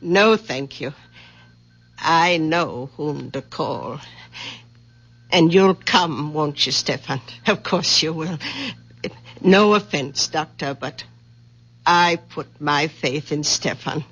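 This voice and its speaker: An actress playing a stubborn, proud older village woman in a radio drama, husky, firm and polite. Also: sits low, takes it slowly, long pauses, a bit bright, exaggerated voice. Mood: firm, prim. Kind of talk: old-time radio drama acting. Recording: dull lo-fi radio drama recording.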